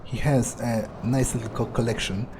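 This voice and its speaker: A man playing a video game in character, thinking aloud in an unhurried, musing way with trailing pauses. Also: mid-range voice, steady tone, darkish tone, slight background noise. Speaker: gameplay streamer playing in character.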